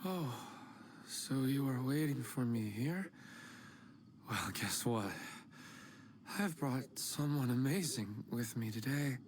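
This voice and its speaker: A man voicing an earnest husband missing his wife in a game, wistful and unhurried. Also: mid-range voice, animated, a crowd in the background. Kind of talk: game voice acting. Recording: game audio over loud music.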